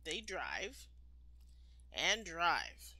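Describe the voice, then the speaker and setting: A woman reading a children's picture book aloud expressively, like a storytime teacher, with deliberate, emphatic phrasing. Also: on the low side, wildly swooping pitch, pushed and bright, dry recording.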